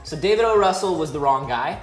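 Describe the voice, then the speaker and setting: A woman's voice: sits low, animated, quick pace. A woman chatting casually as a panelist, giving opinions in a blunt, emphatic way. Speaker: panelist on a video game talk show. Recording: roomy sound with music behind.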